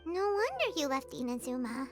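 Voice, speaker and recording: A woman voicing a tiny, chirpy, earnest fairy companion in a video game, with a squeaky childlike voice. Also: big pitch swings, normal speed, music in the background. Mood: curious. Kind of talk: cartoonish video game acting.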